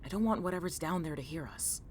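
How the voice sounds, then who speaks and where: A man's voice: on the high side, lively intonation, fast talker, background noise, close to an everyday voice. A man playing a guarded, irritable but protective young student in an audio drama, speaking in a blunt, dry, terse way. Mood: wary, terse.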